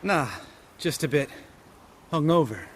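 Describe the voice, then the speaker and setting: An actor playing a younger monster hunter in a fantasy video game, cynical and bitter, with a blunt, sardonic manner. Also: male voice, a little high, lively intonation, measured pace, slight background noise.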